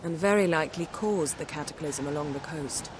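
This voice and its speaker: An actor playing a companion in a fantasy video game, speaking in a crisp, matter-of-fact way. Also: male voice, fairly high pitch, brisk, noisy background, lightly acted. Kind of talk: video game cutscene acting.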